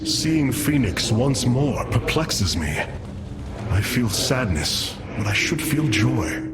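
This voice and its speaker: An actor playing a noble alien leader who speaks in a formal, grave manner. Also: male voice, medium pitch, sing-song, slow pace. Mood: reflective. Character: noble male alien leader, dignified and earnest. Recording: game audio with loud music. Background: game music.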